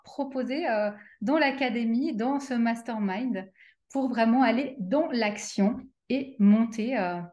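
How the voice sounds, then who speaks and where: Chatty French woman host, halting. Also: fairly high pitch, darkish tone. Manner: hesitant, many fillers and restarts. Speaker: interview host and coach. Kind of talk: casual online interview.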